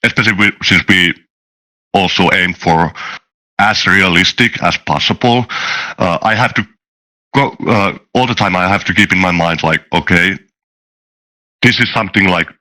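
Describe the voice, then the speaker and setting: A man with a deep, bright-edged voice talks casually in a panel discussion. He explains things haltingly, backing up and rephrasing as he works through each point. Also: low-quality recording. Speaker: tabletop game designer and game master.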